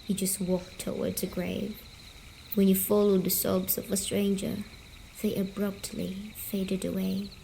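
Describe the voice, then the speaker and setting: A woman voicing a gentle, teasing female sleep paralysis demon, speaking softly and soothingly in an ASMR story-telling roleplay. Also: medium pitch, flat delivery, gravelly, a little hiss or hum, poor audio. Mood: hushed storytelling. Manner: slow, soothing, coaxing, softly spoken.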